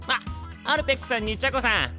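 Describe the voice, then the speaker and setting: A sly young trickster in an anime-style drama. He is theatrical, courteous and teasing, with a very animated, bright delivery over light music. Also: very high voice, fast talker, full character voice. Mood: indignant, flippant. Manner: polite speech, teasing and theatrical. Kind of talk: Japanese anime-style audio drama acting.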